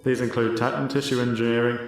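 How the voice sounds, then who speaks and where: A man's voice: medium pitch, flat delivery, a little fast, faint music behind. A man reading scripted educational narration in a formal presenting style. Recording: very echoey room.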